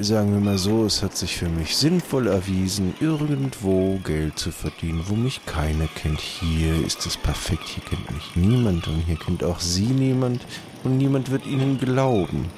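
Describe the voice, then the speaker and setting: A man playing a shrewd, haughty market trader with a formal, deliberate and condescending manner in an improvised adventure audio play. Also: deep voice, background noise.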